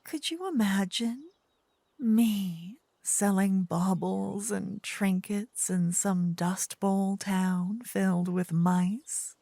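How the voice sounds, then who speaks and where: A woman's voice: mid-range voice, fairly even intonation, extremely slow, mellow, no echo, clean studio sound, exaggerated voice. A woman playing a possessive demon queen, doting yet wrathful, in a whispery ASMR fantasy roleplay with grand, theatrical phrasing. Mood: teasing, amused. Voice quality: breathy, whispery.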